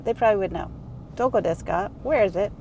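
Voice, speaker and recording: A middle-aged woman talks into a small camera as she goes, rambling and correcting herself mid-sentence with bursts of excitement. Her voice has a gravelly fry and sits at a middle pitch. Car and street noise runs steadily underneath. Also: quick pace, muffled recording. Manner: rambling, self-correcting, enthusiastic. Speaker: vlogger. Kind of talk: casual chatty vlog. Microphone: small handheld camera mic. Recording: noisy car and street ambience.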